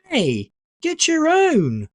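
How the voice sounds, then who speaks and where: A narrator voicing an excitable, delighted ten-year-old schoolboy in an audiobook. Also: high-pitched, extremely slow, no room sound, studio quality, voice pushed up, full character voice. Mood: excited, cheeky.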